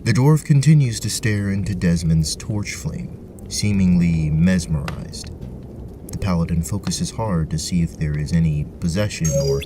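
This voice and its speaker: A man's voice: low voice, animated, a little slow, background noise. A man narrating a scripted tabletop fantasy story as its storyteller. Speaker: narrator, game master.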